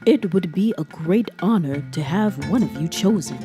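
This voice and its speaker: A woman voicing a dignified, fair-minded village father in a children's folktale, speaking in a measured, firm, formal way. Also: fairly low pitch, animated, music in the background, voice pushed down, lightly acted. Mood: solemn. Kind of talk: read-aloud children's folktale.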